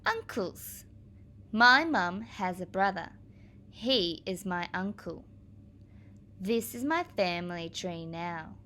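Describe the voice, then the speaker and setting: A woman teacher reading aloud to young children, explaining as she goes in a clear, expressive manner. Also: fairly low pitch, slow, pauses often.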